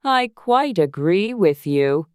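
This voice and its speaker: Slow, pompous, moralizing Duchess. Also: female voice, on the low side, very animated, clean tone, no room sound, studio quality, voice pushed up. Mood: self-satisfied. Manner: pompous, drawn-out sententious delivery. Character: affectionate, moralizing, ugly Duchess, agreeable and pompous. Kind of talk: dramatized storybook audiobook acting.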